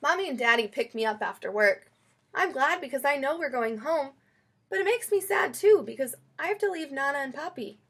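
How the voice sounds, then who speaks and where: A woman reading a children's picture book aloud in an expressive, storytelling way. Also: high voice, brisk. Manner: expressive, acts out quoted lines.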